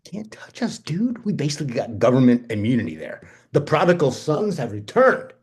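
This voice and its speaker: A man playing a husky, animated, brash slacker cousin. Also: mid-range voice, normal speed. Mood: cocky, dismissive. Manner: slangy, cocky, nonchalant. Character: Benji, brash, charming, restless, foul-mouthed male cousin. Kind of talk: informal screenplay table read, slangy naturalistic dialogue.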